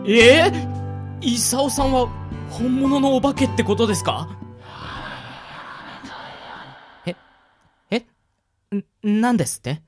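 A woman's voice: on the high side, expressive, unhurried, music in the background. A woman playing an earnest, easily flustered young man who works part-time, in Japanese radio drama style. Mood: surprised.

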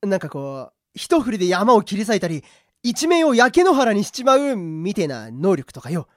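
A man acting a gruff but kind-hearted prison guard in an amateur radio drama, with blunt, slangy talk. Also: high voice, big pitch swings, normal speed, dry recording, clean studio sound. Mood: curious, casual.

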